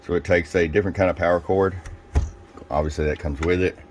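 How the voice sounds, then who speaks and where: Male reviewer, extremely deep pitch, plain-spoken and casual hands-on demo delivery, faint noise behind the voice. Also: steady tone, fast talker. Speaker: radio seller demonstrating a product.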